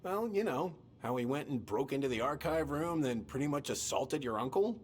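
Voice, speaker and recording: A man's voice: mid-range voice, animated, moderate pace, natural voice. An actor playing a concerned, fatherly middle-aged police officer in a naturalistic video game cutscene. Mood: reproachful, concerned.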